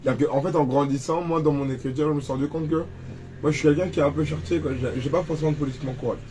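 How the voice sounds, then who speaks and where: A man's voice: a little high, steady tone, rapid-fire, warm tone, slight background noise. Young man rambling in an interview. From Cameroon. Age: 20s. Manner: rambling, many restarts and fillers. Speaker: rapper guest. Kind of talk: casual interview chat.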